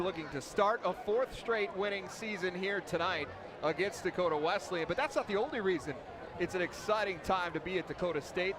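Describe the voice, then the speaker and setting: A man calling a college football broadcast at halftime with a smooth, upbeat delivery. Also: high voice, background noise. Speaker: play-by-play broadcaster. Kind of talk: sports broadcast commentary.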